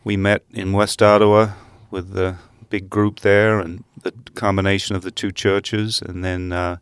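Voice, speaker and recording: An older man who grew up in England, a podcast guest talking easily about his life in a relaxed, rambling way. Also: deep voice, unhurried, smooth, no room sound, clean studio sound. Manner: easygoing, rambling. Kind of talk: casual conversation.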